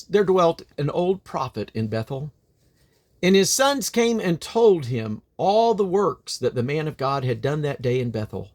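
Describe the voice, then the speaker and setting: A man reading the Bible aloud as a dramatic narrator, declaiming quoted speech with emphasis. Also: on the high side. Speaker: Bible reader.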